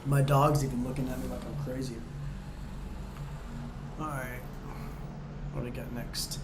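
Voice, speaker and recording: A man tutoring viewers through real estate exam questions in a casual, chatty way, joking and going a bit hoarse. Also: mid-range voice, little pitch movement, measured pace, roomy sound, background noise. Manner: chatty, talks straight to viewers, jokey asides. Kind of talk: casual practice-exam walkthrough.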